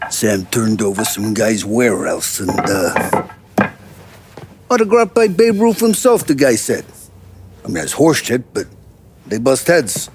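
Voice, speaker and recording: An actor playing a blustering, cynical 1930s gangland associate with an American accent and scornful, slangy talk in a crime game. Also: male voice, medium pitch, big pitch swings, measured pace, pauses often, a little hiss or hum. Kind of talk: cinematic crime game dialogue. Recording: loud clattering dishes behind.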